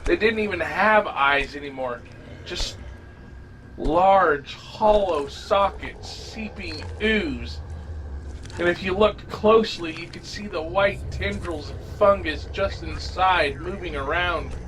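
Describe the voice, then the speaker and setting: A man reading a scripted first-person horror story as an audio drama narrator, grave and dramatic with deliberate phrasing. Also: high-pitched, a bit bright, a little hiss or hum, poor audio. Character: male survivor recounting a zombie fungus apocalypse. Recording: amateur narration over music. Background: music.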